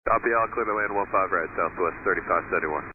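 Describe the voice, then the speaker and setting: A man's voice: low-pitched, quick pace. A man speaking as an airline pilot in clipped radio readbacks over an aircraft radio. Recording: noisy, narrowband aircraft radio transmission.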